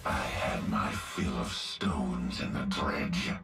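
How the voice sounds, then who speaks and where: A man's voice: animated, takes it slowly, heavily acted. A man playing a menacing, brutal cyborg warlord as a video game villain, with a growling voice and grim, threatening delivery. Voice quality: very deep, gravelly growl. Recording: loud music underneath. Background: loud music.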